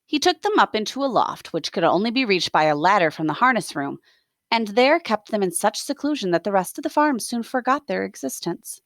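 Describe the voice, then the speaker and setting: A woman reading a story aloud as a teacher, expressive, with explanatory asides. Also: mid-range voice, brisk, dry recording, clean studio sound.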